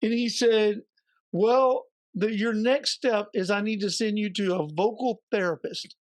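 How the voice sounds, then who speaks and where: Raspy man telling a story. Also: high-pitched, steady tone, measured pace, no room sound. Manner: chatty storyteller, joking asides. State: a little hoarse. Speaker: podcast co-host. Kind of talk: casual podcast chat.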